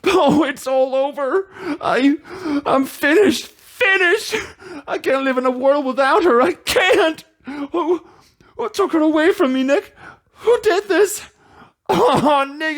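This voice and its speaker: A performer voicing a panicked, melodramatic young man from a video game, with a high, strained, whiny wail. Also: animated, a bit bright, full character voice. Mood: wailing despair. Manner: melodramatic, drawn-out cries.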